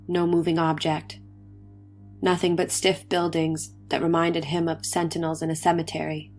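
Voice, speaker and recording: Low-pitched woman reading a story dramatically. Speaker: story reader. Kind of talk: dramatic reading of early science fiction. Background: faint music.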